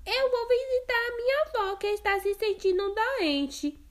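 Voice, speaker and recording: A woman voicing a sweet little girl in a children's storybook reading, squeaky and innocent. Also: unhurried.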